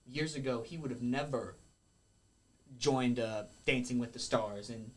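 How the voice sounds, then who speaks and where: A man's voice: mid-range voice, a little slow, slight background noise. Earnest young man, rambling. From Kentucky. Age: 20s. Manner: rambling, self-correcting, earnest. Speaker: guest, professional wrestler. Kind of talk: casual podcast interview.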